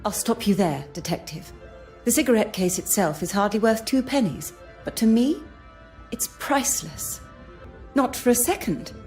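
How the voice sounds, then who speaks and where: A woman plays a proud young lady from a mystery game. She is polite yet firm and sure of herself, in a medium-pitched voice. Soft music runs faintly behind. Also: expressive, moderate pace, natural voice. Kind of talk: scripted game dialogue.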